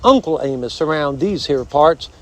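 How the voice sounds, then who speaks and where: Man acting old armadillo uncle, Southern drawl, high swooping pitch, pompous, folksy, repetitive, storybook character. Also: expressive, normal speed, natural voice. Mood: courtly, genial. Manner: blustery, repeats himself emphatically.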